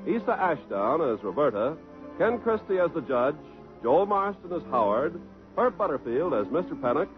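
A man reads the cast credits with crisp, formal announcing. His slightly high voice moves lively, and the sound is thin and tinny, with faint music under it. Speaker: radio announcer. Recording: tinny old radio broadcast with music.

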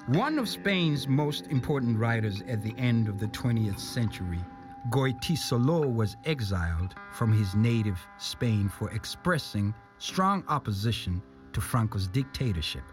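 Male narrator, mid-range pitch, scripted documentary reading, music behind. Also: sing-song, a little slow.

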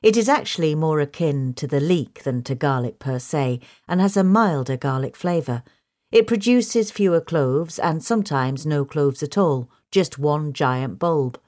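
A woman's voice: low voice, animated, measured pace, darkish tone. A woman reading a gardening article aloud as scripted narration. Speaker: article reader.

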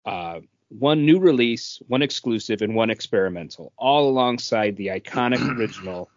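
A dry, slightly dull recording of a man with an average-pitched voice. He speaks as a podcast co-host, explaining in an informal way and at times reading text out. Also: expressive, moderate pace, no echo. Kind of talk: casual explanatory chat, some reading aloud.

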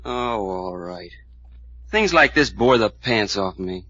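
An actor playing a surly, defiant young punk with curt slangy talk in an old-time radio drama. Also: male voice, lowish voice, lively intonation, slow, sharp tone, exaggerated voice. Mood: sullen. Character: sullen, defiant young punk putting on a tough front. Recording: muffled vintage radio recording.

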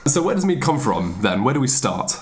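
A man hosting a podcast, asking questions in a brisk, upbeat way. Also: mid-range voice, big pitch swings. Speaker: podcast host.

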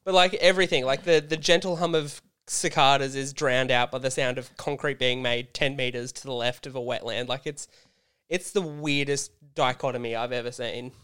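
Australian guy in his 30s, slightly hoarse yet resonant, animated storyteller, swears casually. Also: mid-range voice, normal speed. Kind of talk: casual chat.

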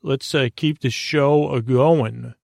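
A man hosting a bedtime podcast in a creaky, soothing voice, meandering hesitantly through absent-minded tangents. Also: medium pitch, fairly even intonation, slow, a little mellow. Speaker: podcast host. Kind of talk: rambling bedtime sleep podcast monologue.

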